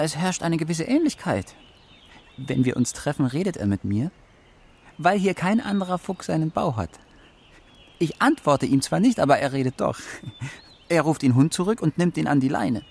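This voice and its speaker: A man playing a proud, wary talking forest fox in a children's radio play, husky and musing. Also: a little high, animated, moderate pace, darkish tone, heavily acted. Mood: guarded, proud.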